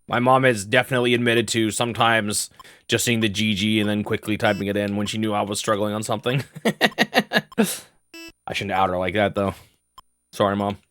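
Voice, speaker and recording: A man's voice: fairly low pitch, normal speed, pauses often, a bit bright, clear, resonant tone. A young man from the United States chatting casually while playing a game, rambling and laughing often as he restarts his sentences. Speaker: gaming streamer.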